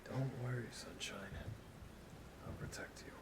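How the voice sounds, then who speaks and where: A man's voice: mid-range voice, unhurried, whispered, natural voice. An actor playing a wry, scruffy injured man with a teasing, informal manner in naturalistic audio drama.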